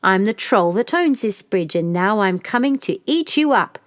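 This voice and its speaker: A woman voicing a great, bad-tempered male troll in a storybook, with a loud, blustering shout. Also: medium pitch, expressive, moderate pace, no room sound, studio quality, natural voice. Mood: enraged. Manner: menacing, blustering. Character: great terrible grey male troll, bellowing bully.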